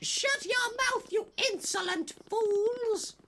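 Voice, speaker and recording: A man voicing a stern, angry woman professor in a screechy falsetto for a comic story reading. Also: slow pace, pushed and bright, slight background noise, full character voice. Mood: furious. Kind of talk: comic fan fiction reading.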